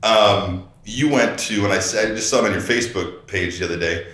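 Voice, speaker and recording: A man's voice: lowish voice, fairly quick, a bit bright. A man hosting a podcast in casual, informal interview chat, joking with his guest. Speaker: podcast host. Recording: roomy, echoey sound.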